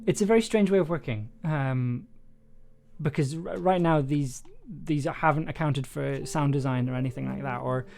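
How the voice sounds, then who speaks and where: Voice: medium pitch, normal speed, vocal fry, a little mellow. A streamer thinking aloud casually while writing a story live, in a playful, musing, giggly manner. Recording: music behind the voice. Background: music and computer keyboard typing.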